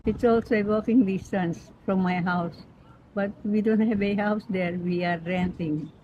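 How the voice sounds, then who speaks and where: A woman in her nineties from the Philippines, speaking with a Filipino accent and Pampango as her first language, rambling gently and laughing easily as she recalls her life. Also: medium pitch, fairly even intonation, measured pace. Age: 90s. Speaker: interviewee. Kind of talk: reminiscing in casual chat. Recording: lo-fi with background noise.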